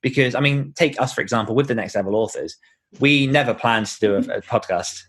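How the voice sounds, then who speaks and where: A man with a British accent speaks at an average pitch. He explains things informally, as a guest does in a relaxed podcast conversation. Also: a little fast, slightly rough. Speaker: author and podcaster as interview guest.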